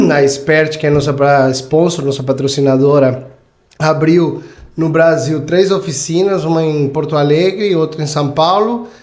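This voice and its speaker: A male host talks in an upbeat, promotional way, turning to the audience often. His voice sits somewhat high and sounds roomy. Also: normal speed, darkish tone. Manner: upbeat, promotional, addresses viewers. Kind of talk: casual interview chat.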